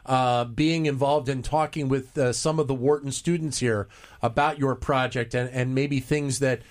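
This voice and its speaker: A male host interviewing guests, halting and often restarting his questions. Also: medium pitch, fairly even intonation.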